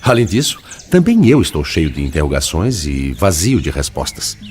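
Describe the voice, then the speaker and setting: An actor playing a humble, weary, melancholy male spirit in an audio drama, speaking in a gentle, formal way over faint outdoor ambience. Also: extremely low pitch, sing-song, normal speed, soft background music. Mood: humble, courteous.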